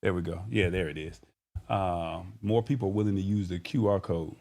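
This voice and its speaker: A man's voice: sits low, moderate pace, warm tone. A man hosting a live-streamed talk show in an informal, conversational way, speaking directly to viewers. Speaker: show host.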